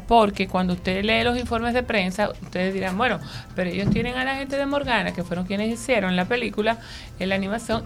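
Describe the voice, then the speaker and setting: A Dominican woman hosting a radio talk show, chatting quickly and joking with her guests. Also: mid-range voice. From Dominican Republic.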